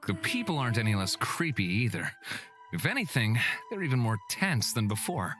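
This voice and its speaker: A man's voice: medium pitch, sing-song, moderate pace. A man voicing a sly, playful young fantasy game character who teases as he talks, over game music. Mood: wry. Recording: loud game music under the voice.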